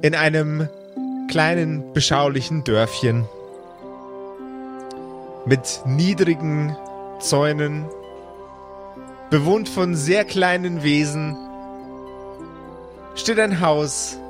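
A man narrating a tabletop role-playing game as game master, with measured, deliberate storytelling phrasing. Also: on the high side, music in the background.

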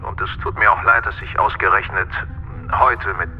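An actor playing a hesitant, apologetic man on a video call in an audio drama, halting, through a transmission filter. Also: low-pitched, steady tone, slightly rough. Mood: hesitant, uneasy. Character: hesitant, apologetic male caller from mission control. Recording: muffled, band-limited radio transmission.

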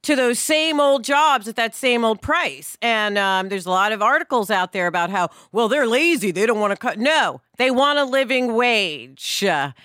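A woman's voice: on the high side, lively intonation, normal speed, hardly any pauses, fairly bright tone, no echo, clean studio sound. A middle-aged American woman hosting a podcast discussion, speaking in an opinionated, emphatic way. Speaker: podcast host.

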